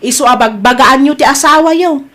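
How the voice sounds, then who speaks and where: A woman's voice: high voice, brisk, fairly bright tone. A woman playing a gossipy, complaining shopkeeper in an Ilocano family comedy drama. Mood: worried, complaining.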